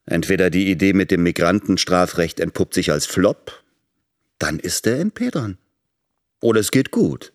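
A man's voice: sits low, sing-song, moderate pace. An actor playing a self-serving male politician who justifies himself rhetorically in a radio drama monologue. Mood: self-justifying. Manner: rhetorical, calculating.

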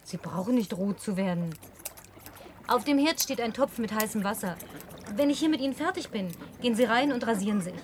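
Bossy fussy young lady voiced by an actress, teasing and prim, bit high-pitched. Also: lively intonation, a little fast, a little hiss or hum, natural voice. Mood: teasing, commanding. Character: lonely young woman, bossy fussy hostess. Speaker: dubbing actress. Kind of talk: German film dub.

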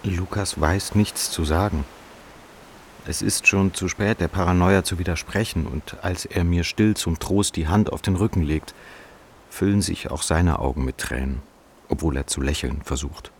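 An actor playing a brooding, detached writer lost in his illness, speaking a deliberate, sombre radio drama monologue. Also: sits low. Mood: melancholy. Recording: clear hiss behind the voice.

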